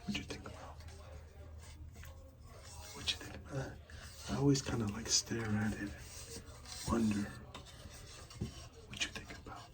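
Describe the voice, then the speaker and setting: A man speaking softly in an ASMR roleplay as an art gallery dealer, pausing mid-phrase and often slipping into a whisper. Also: mid-range voice, recorded from a distance. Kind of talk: soft-spoken ASMR roleplay, partly whispered.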